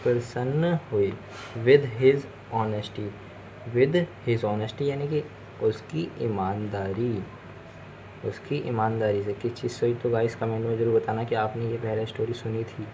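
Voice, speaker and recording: Indian guy teaching english, really slow, native hindi speaker, repeats phrases to explain, noisy background. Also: medium pitch, fairly even intonation, warm tone. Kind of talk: bilingual lesson, translating a story word by word.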